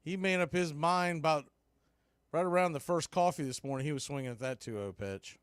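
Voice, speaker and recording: A man calling baseball play-by-play in a relaxed, chatty way with wry asides. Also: fairly high pitch, fairly quick, clear, resonant tone, no room sound, studio quality. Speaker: play-by-play announcer.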